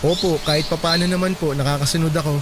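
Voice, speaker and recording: A man's voice: fairly high pitch, steady tone, quick pace, background noise, lightly acted. A man playing a respectful, patient young working son in a Tagalog radio drama, polite and earnest. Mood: reassuring, hopeful.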